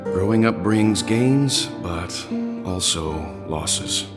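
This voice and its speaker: A really deep calm detective type in a video game, polite n thoughtful, music playing. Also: male voice, drawn-out words, exaggerated voice. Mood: pensive. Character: calm, courteous, observant adult male investigator. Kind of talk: English video game voice acting.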